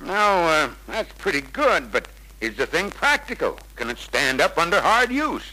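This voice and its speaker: A man's voice: on the high side, very animated, a little slow, bright, forceful tone, heavily acted. A man playing a skeptical, folksy older country customer in a 1950s sales film, blunt and grudging. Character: gruff skeptical older road-building customer, a country squire. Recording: noisy 1950s film soundtrack.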